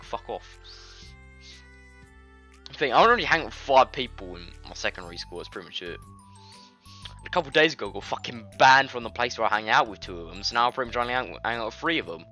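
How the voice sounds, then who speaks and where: A man's voice: mid-range voice, lively intonation, very fast, sharp tone, soft background music. A young British man reading a visual novel aloud and chatting casually, offhand and sweary. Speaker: gamer narrating a visual novel. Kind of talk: casual reading and chat over gameplay.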